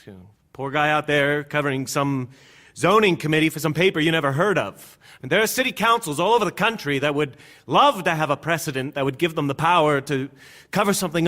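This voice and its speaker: An actor playing an earnest newspaper executive who speaks haltingly in a radio drama. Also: male voice, on the high side, bright, forceful tone. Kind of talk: radio play acting.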